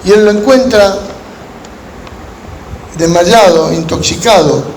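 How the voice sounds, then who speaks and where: A man with an Argentine Spanish accent preaching as a pastor, telling stories in measured, deliberate phrases with pauses. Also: high-pitched, darkish tone. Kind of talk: sermon to a gathering of pastors, with storytelling. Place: large room at a church conference. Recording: echoey hall with background noise.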